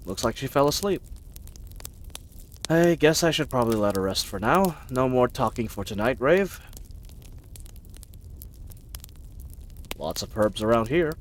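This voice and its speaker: An animated, friendly knight voiced by a man in a fantasy audio roleplay, with a mid-pitched voice that swings freely up and down. He babbles in an eager, bumbling way, hesitant and easily flustered as he talks straight to the listener. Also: slight background noise. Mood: musing, gentle. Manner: chatty, rambling, hesitant, flustered.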